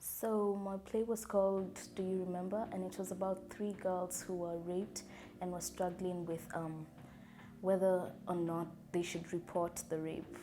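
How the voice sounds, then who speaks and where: Low-voiced young woman from Kenya. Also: flat delivery, a little slow, dark tone, a little hiss or hum. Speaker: student playwright and director. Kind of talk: interview. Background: music.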